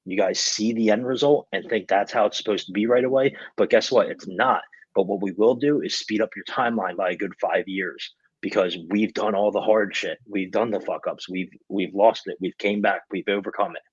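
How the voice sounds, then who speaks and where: Man, 20s, lowish raspy voice. Blunt, sweary, emphatic motivational talk, muffled lo-fi recording. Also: moderate pace, no room sound. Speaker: podcast speaker. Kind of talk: casual motivational rant.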